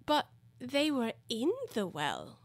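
A woman voicing a polite, earnest storybook girl with a gentle, questioning manner and a measured delivery. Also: on the high side, huge pitch swings, dry recording, clean studio sound, pitched above the performer's own voice, exaggerated voice. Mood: humble, cautious.

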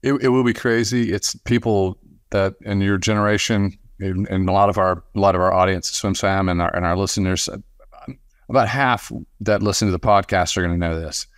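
A man hosting a casual sports podcast interview, speaking haltingly and often repeating words as he restarts. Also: low voice, steady tone, no echo, studio quality.

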